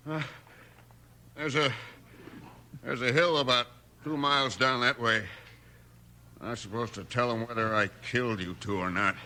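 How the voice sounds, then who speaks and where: A surly American outlaw, tough-talking and grudging, with a very sharp, forceful edge to a medium-pitched voice. The delivery is 1950s Western radio acting. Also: male voice, fairly even intonation, normal speed, pauses often. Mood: cowed, grudging. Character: surly, sneering male outlaw gunman.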